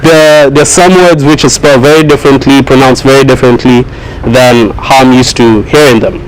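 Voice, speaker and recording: A young man from Kenya who grew up in Kenya, talking to a high school class in a joking way, laughing often. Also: steady tone, normal speed, slight background noise, rough recording. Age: young adult. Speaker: student speaker at a school. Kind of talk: classroom talk.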